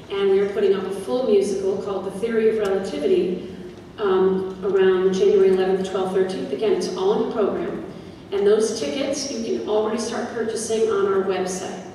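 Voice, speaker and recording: A woman speaks as a drama director making informal announcements to her audience. Her manner is conversational and her pitch is average. She is recorded from far off in a reverberant hall with faint noise. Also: mellow. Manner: chatty, conversational. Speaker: drama teacher and director introducing the show. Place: school theatre stage, distant microphone. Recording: echoey auditorium from far off.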